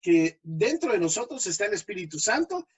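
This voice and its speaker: High husky-voiced man hosting an interview. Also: very animated, moderate pace. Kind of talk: conversational interview.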